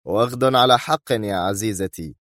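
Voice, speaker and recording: A man's voice: on the low side, normal speed, a bit bright, dry recording, studio quality, lightly acted. A man playing a tense, mocking, hot-tempered male spy rival in an audiobook thriller.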